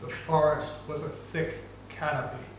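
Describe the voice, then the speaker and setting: A man narrating an ancient epic as a dramatic reading, solemn and measured. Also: a little high, little pitch movement. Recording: very echoey, distant, noisy room recording.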